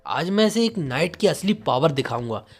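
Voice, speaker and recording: A man's voice: a little high, sing-song, moderate pace, slightly rough, heavily acted. A man voicing an irritated, proud knight with a threatening, indignant manner in a manga story. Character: irritated proud male knight, a menacing duelist.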